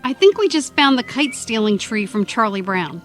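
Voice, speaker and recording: An actress playing a plucky young travelling companion in an audio drama, with a wry, playful, quippy manner. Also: female voice, fairly high pitch, sing-song, moderate pace, soft background music. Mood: light, playful. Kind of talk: scripted audio drama acting.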